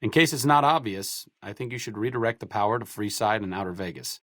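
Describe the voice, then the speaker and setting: A man's voice: on the low side, fast, pushed and bright, no room sound. A man playing a wry, educated, idealistic doctor-researcher in a post-apocalyptic video game, articulate and sardonic. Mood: earnest. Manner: dry, articulate, sardonic, self-deprecating. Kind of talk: video game companion dialogue.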